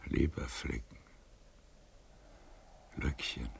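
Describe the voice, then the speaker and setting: An actor playing a frail, proud, aging gallant in a radio drama, musing theatrically in a husky, gravelly voice. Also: male voice, extremely low pitch, a little hiss or hum, full character voice. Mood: grumbling, bitter. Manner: slow, theatrical, musing to himself. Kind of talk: literary radio drama acting.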